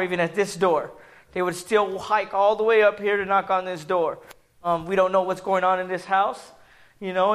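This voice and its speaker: A man in his forties with a loud voice, speaking earnestly at a church gathering and filling pauses with ums. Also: high-pitched. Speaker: ministry public relations speaker. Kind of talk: testimony sermon.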